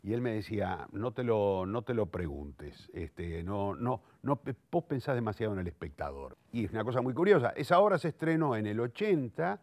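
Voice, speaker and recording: A man's voice: lowish voice, lively intonation, normal speed, few pauses, no room sound. A man in his sixties with a Rioplatense Argentine accent, speaking reflectively and eloquently in an interview, often repeating words as he searches for the next. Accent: Rioplatense Argentine Spanish. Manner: eloquent, self-correcting, thinks aloud with searching repetitions. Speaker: playwright, director and theatre teacher.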